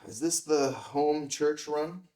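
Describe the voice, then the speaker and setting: An actor playing a guarded big-city reporter, hesitant and probing, in a naturalistic screenplay read. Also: male voice, a little high, fairly even intonation, drawn-out words, dark tone.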